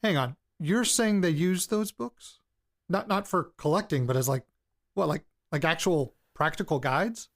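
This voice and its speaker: An actor playing an anxious, insistent young amateur investigator, speaking haltingly and bluntly in a naturalistic audio drama. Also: male voice, fairly high pitch, animated. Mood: insistent, incredulous. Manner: halting, blunt, pressing, sweary. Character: anxious, insistent amateur investigator probing his late grandparents' secrets. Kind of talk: audio drama, naturalistic hesitant recorded diary and interview. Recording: field recording with background noise.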